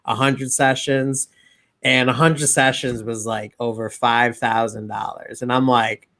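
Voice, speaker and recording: A man's voice: mid-range voice, level tone, unhurried, pushed and bright. A man in his thirties, co-hosting a casual podcast, telling long self-deprecating stories. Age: 30s. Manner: rambling storyteller, self-deprecating. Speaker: podcast co-host.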